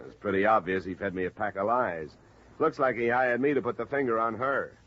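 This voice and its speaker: A man's voice: lowish voice, fairly quick, close to an everyday voice. A man with an American accent acting a hard-boiled, sardonic investigator in a 1950s radio drama, with a wry, weary, clipped delivery. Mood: irritated. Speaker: lead actor and narrator. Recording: muffled vintage radio broadcast, music behind.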